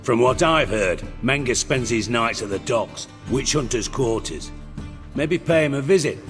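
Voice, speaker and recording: An actor playing a cynical middle-aged spymaster in a video game, with a British accent and a mockingly polite, wry, profane way of talking. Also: male voice, medium pitch, animated, unhurried, fairly bright tone, big-room sound, faint music behind. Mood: smug. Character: cynical, shrewd, sarcastic male underworld spymaster, middle-aged.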